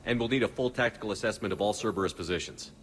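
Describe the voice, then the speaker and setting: An actor playing a principled military commander in a video game, firm, direct and dry. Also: male voice, fairly low pitch, very fast, a bit bright, slight background noise, close to an everyday voice. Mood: firm, determined. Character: resolute, principled male military commander hero. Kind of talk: video game cutscene acting.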